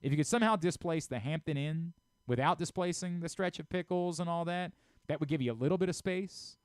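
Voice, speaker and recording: Clear-voiced man hosting sports talk radio. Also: a little high, brisk, dry recording. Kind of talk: casual talk radio discussion. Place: radio studio.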